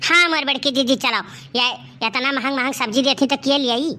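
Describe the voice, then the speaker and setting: A woman's voice: high-pitched, a little fast, sharp tone. A woman voicing a huffy, haggling village housewife in a cartoon comedy, complaining in an Awadhi accent. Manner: complaining, bickering.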